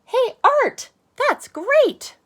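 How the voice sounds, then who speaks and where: A woman voicing a small, eager, excitable young male lizard in a storybook, with childlike, bubbly enthusiasm. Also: extremely high pitch, extremely slow, voice pushed up, heavily acted. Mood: excited admiring.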